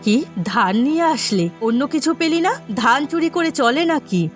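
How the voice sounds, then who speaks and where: A woman's voice: high-pitched, lively intonation, moderate pace, faint music behind. A woman voicing a bossy, scheming village matron who runs thieves in a cartoon story. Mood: suspicious, scornful.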